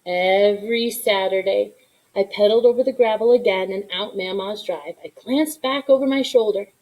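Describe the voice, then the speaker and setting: A woman reading a children's story aloud in an expressive narration, with asides to young listeners. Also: mid-range voice, moderate pace, low-quality recording. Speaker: storybook reader.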